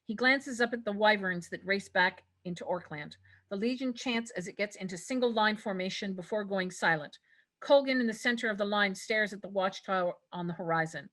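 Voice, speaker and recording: A woman's voice: medium pitch, fairly quick, a bit bright. A woman reading stage directions as scripted narration at a table read.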